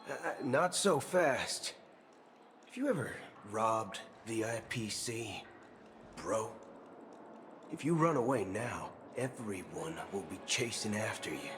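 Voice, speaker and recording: A man's voice: on the low side, very animated, slow pace. A male actor playing a brash, shrewd cowboy gunslinger in a video game, with a folksy, slangy way of talking. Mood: cocky, scheming. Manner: folksy, dropping casual slang and softened swears. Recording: game music behind the voice. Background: game soundtrack music.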